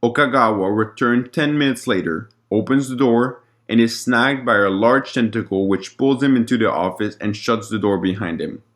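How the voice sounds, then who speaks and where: A man's voice: mid-range voice, normal speed, hardly any pauses, a bit bright. A man narrating a scripted document log in a formal, report-like way, with an occasional stumble over the words.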